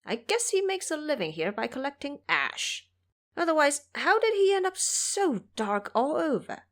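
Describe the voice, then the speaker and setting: A woman voicing a boastful male monkey king warrior who taunts and threatens his foes loudly. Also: high voice, sing-song, moderate pace, voice pushed up. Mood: mocking. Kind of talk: audio drama voice acting.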